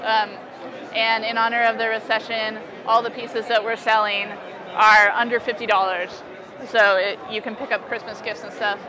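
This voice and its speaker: A woman's voice: on the high side, level tone, moderate pace. A young American woman talking casually and informally as an artist being interviewed at an expo booth. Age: young adult. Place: indoor convention expo booth. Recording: busy crowd noise around the voice. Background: convention hall crowd chatter.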